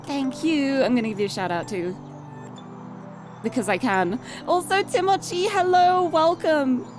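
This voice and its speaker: British girl streamer w a high voice, chatting n reading out a game, music in the background. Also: measured pace. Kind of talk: live game stream chat and read-aloud narration.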